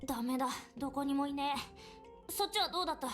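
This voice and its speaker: An actress voicing a rough-spoken, blunt and protective young woman in a visual novel game, gruff and tomboyish. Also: sits high, rapid-fire, soft background music, close to an everyday voice. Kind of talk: voiced visual novel dialogue.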